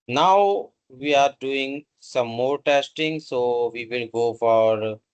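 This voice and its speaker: A man narrating a step-by-step software tutorial in a halting, instructional way, with pauses between short phrases. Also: mid-range voice, clear, resonant tone, muffled recording.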